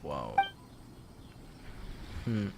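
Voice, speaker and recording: A man doing casual gameplay commentary, reading dialogue aloud and reacting in an informal, halting way. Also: a bass voice, big pitch swings, creaky, background noise. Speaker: gameplay streamer.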